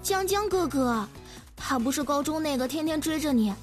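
A spoiled young lady in a comedic audio drama. Her voice is high and coquettish, full of exclamations, over clearly audible music. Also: lively intonation, moderate pace. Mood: flustered, pouty.

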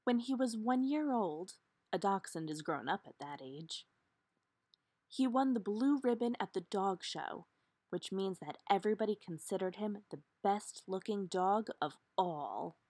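A woman narrates a children's picture book at an unhurried pace. Her voice is slightly husky and sits close and dry, and she stresses key words with dramatic emphasis. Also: medium pitch, expressive, mellow, dry recording. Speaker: storybook reader. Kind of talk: expressive children's read-aloud narration.

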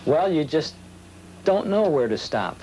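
Halting man on noisy old videotape. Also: a little high, sing-song, darkish tone. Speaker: old radio program collector being interviewed. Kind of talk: casual interview talk. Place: small office, indoors.

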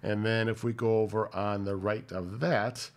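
A man's voice: on the low side, fairly even intonation, moderate pace. A man in his forties with a slightly nasal voice from a cold, hosting a casual tech walkthrough from his home office, talking loosely with fillers and restarts. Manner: conversational, many fillers and restarts. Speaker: tech channel host. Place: home office with desk and monitor.

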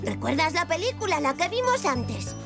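A performer voicing a curious, imaginative five-year-old zebra boy in a children's cartoon dub, excitable and earnest. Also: very high-pitched, normal speed, exaggerated voice. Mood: amazed. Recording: music behind the voice.

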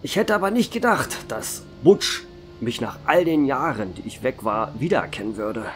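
A man playing a centuries-old vampire who runs a bar, telling his story in a calm, measured way. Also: mid-range voice. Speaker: narrator and bar owner. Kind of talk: fan audio drama narration. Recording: music bed under the voice.

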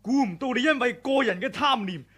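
A man's voice: very high voice, expressive, fairly quick, breathy edge, full character voice. A young man with a Hong Kong Cantonese accent playing an earnest, kind young hero in a radio drama. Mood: accusing. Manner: earnest, plain-spoken. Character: plain-spoken young male hero, weary and kind. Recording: old radio drama, loud crowd and noise.

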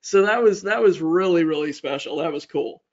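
Male webinar host, casual sales pitch, strong vocal fry, slightly muffled lo-fi audio. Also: moderate pace.

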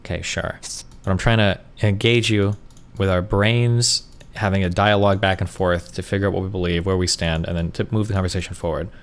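Man, 30s, streamer, low pitch, rapid speech, condescending, testing principles. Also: fairly even intonation, clean tone. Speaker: streamer and political debater. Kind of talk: heated debate. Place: home streaming room. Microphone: studio mic on arm.

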